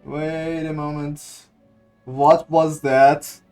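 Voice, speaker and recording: Male streamer, unhurried and halting, thinking out loud over faint game music. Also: fairly high pitch, little pitch movement, smooth. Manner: hesitant, thinking aloud, repeating words. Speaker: gaming streamer. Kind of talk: casual gameplay commentary.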